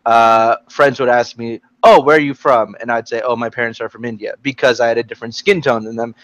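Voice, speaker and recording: A young man with an American accent talks casually in a group discussion. His delivery is halting as he thinks aloud. The sound is dry and close, from a computer mic on an online call. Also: mid-range voice, steady tone, fairly bright tone, no echo. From Texas, United States. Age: young adult. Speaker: youth panel participant. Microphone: computer microphone on an online call.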